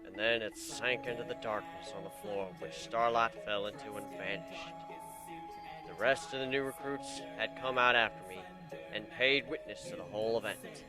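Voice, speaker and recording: A man giving a dramatic reading of a story, hushed and suspenseful. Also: on the low side, steady tone, moderate pace, music in the background. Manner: hushed and suspenseful, rising to shouts in tense moments. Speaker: reader. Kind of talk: dramatic reading of fan fiction.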